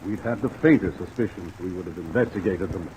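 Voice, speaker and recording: An actor playing a courteous, cautious company executive in a 1950s radio drama, speaking in a formal, measured, businesslike way. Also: male voice, deep voice, mellow, close to an everyday voice. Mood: cautious, firm. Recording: muffled old radio recording, rain noise.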